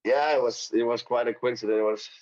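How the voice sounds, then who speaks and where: Halting man as a guest. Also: medium pitch, steady tone, darkish tone. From Denmark. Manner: halting, with many repeated words and fillers. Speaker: podcast guest. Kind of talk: casual interview chat. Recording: lo-fi podcast audio.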